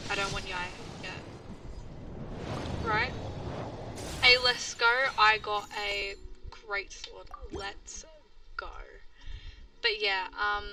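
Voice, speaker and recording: A woman's voice: medium pitch, vocal fry. A woman live-streaming a video game, thinking aloud in an informal, rambling way. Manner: informal, rambling, talking to herself. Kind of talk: casual live gaming commentary, thinking aloud. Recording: slightly distant mic, loud game music. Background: video game music.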